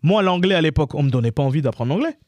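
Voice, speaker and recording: A man's voice: a little high, expressive, very fast, darkish tone, no room sound. A man in his thirties with a Parisian suburban French accent, talking casually and emphatically as an interview guest, slangy and repeating himself. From France, Paris suburb of Montreuil. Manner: emphatic, repetitive, colloquial. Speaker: interview guest, comedy creator.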